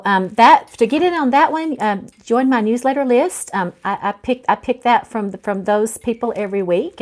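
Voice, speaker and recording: A woman with a mid-range voice hosts an informal online reading as an author. The delivery is that of someone sharing their own book with followers, part chat and part read-aloud. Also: mid-range voice.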